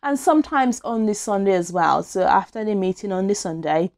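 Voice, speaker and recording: A woman's voice: mid-range voice, animated, a little fast, a little mellow. A young woman from Nigeria in a small indoor room, telling her own story to camera in a casual, open way, with many fillers and self-corrections, recorded on a lapel mic. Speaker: vlogger. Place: small indoor room against a plain wall. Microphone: small clip-on lapel mic.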